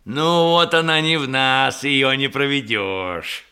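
An actor playing a boastful, jolly hussar nephew in a theatrical verse radio comedy, hearty and blustering, full of exclamations. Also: male voice, medium pitch, takes it slowly, sharp tone. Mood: flustered surprise.

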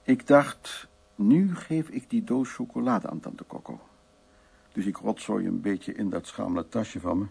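A man's voice: lowish voice, a little slow, creaky, darkish tone, breathy edge, exaggerated voice. An actor playing a troubled, wry and anxious man in a radio drama, musing aloud in hesitant, broken phrases. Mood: musing recollection. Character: troubled man named Louis, recounting past, anxious, wry. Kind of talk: radio drama acting, intimate storytelling.

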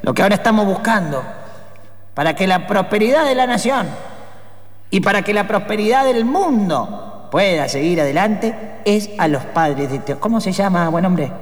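A man plays a polite, bumbling policeman in an improvised comic radio play. The pitch is high and swings widely as he hesitates and repeats himself. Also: lively intonation, normal speed. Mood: announcing. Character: affable, bumbling male police officer Guzmán. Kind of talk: improvised radio comedy acting.

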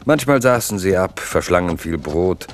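Deep-voiced male radio-play narrator. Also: expressive, slight background noise. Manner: measured, lyrical storytelling. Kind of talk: scripted narration.

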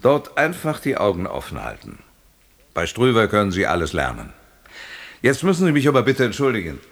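Husky, deep, patronising company boss. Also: male voice, wildly swooping pitch, fairly quick, exaggerated voice. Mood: businesslike. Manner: condescending, businesslike, smooth-talking. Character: jovial, patronising male West German company director. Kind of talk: German audio drama acting.

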